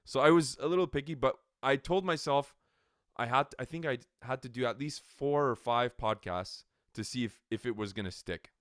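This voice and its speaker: A man from Canada co-hosting a podcast on a good studio microphone. He talks loudly and animatedly, full of filler likes. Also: mid-range voice, moderate pace, dry recording. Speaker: podcast co-host. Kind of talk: casual chat. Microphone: quality podcast microphone.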